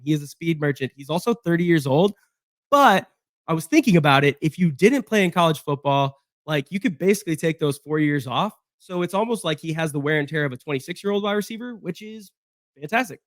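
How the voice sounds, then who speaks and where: A hoarse man with a fairly high voice talks through a football roster on his own, rambling with fillers and restarts. The sound is dry and close, with no room around him. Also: no room sound. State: hoarse from being sick. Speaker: sports podcast host. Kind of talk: casual solo sports breakdown.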